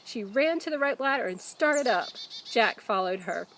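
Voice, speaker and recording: A woman, a teacher reading a children's book aloud expressively, with deliberate emphasis. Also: a little high, expressive, fairly bright tone, slight background noise, rough recording. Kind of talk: children's storybook read-aloud.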